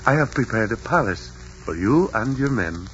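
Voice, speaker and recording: An actor playing a courteous, dignified Aztec emperor with a formal, measured delivery in 1940s radio drama. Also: male voice, mid-range voice, sing-song, fairly bright tone. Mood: gracious. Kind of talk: stately 1940s radio acting. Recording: dull old radio, music behind.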